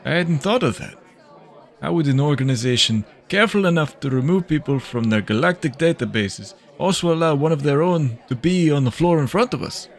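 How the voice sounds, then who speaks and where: A man playing a measured senior detective who mentors a younger colleague in a science fiction audio drama. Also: fairly high pitch, animated. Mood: puzzled.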